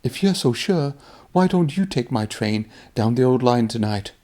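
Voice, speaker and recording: A man's voice: medium pitch, expressive, normal speed, darkish tone, lightly acted. A man voicing a proud, grumbling but nervous steam engine in a storybook, with a huffy, blustering manner.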